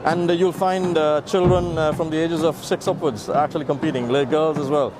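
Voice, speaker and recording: A man in his thirties, a martial arts instructor, talking quickly in an event interview and repeating words as he starts. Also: a little high, fairly even intonation, over a noisy crowd, poor audio. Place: large sports hall, indoors. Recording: loud music under the voice.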